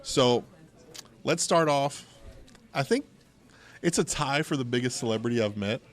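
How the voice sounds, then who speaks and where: Middle aged american guy, regular pitch, chatty podcast storyteller, goes off on tangents. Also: animated, a little slow. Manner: conversational, digressive, frequent asides. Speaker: podcast host. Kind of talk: casual storytelling and banter. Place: local game store.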